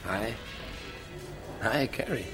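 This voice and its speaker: A man's voice: lowish voice, takes it slowly, a bit bright, soft background music. An idle man in his thirties in a naturalistic radio play. He is over-familiar and nosy. His small talk is laconic and keeps prying. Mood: casual, prying. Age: 30s. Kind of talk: naturalistic radio drama dialogue.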